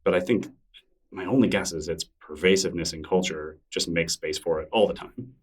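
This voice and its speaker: A middle-aged man with a deep, low voice chats as a podcast guest. He talks in a loose, self-deprecating way, often restarting his sentences. He sits a little off the mic. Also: brisk, husky, far from the mic. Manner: relaxed, rambling, self-deprecating with frequent restarts. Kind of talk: casual interview conversation.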